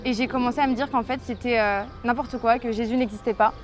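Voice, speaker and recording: A woman's voice: on the high side, steady tone, fast, faint music behind. A woman in her twenties from France, giving an earnest, hesitant personal faith testimony to the camera. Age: 20s. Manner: earnest, hesitant, reflective storytelling.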